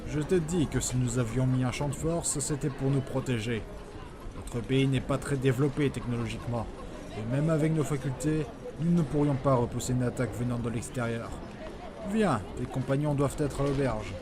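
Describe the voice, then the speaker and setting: A proud master sage of a fantasy audio drama, acted by a man. He speaks with measured authority and a medium male pitch. Clear background ambience sits behind the voice. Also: background noise, natural voice. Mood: matter-of-fact. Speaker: actor in amateur audio drama. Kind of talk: acted audio fiction dialogue.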